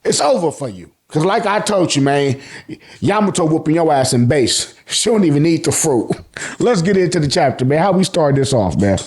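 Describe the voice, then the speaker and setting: A man's voice: a little high, sing-song, normal speed, darkish tone. An American man hosting a casual, slangy recap, telling the story colloquially and acting out reactions. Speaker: YouTube host recapping manga.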